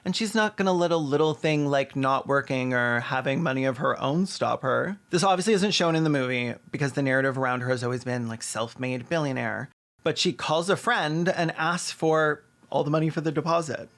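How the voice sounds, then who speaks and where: A man's voice: fairly high pitch, fairly quick, a bit bright. A man delivering scripted video commentary in a sardonic, casually sweary way. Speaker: video essayist host.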